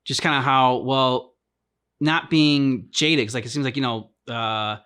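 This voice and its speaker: A man hosting a reaction channel, casually discussing a game's story in a halting way, repeating words as he thinks aloud. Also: mid-range voice, fairly even intonation, bright, forceful tone, smooth. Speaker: host of a game reaction channel.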